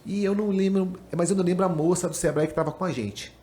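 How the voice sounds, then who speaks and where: A chatty man in his fifties from Pernambuco hosts a podcast, talking in an animated, slangy way. His voice sits fairly high. The room adds a clear echo. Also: quick pace. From Pernambuco, Brazil. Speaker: podcast host, accountant. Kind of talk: casual chat. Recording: echoey room.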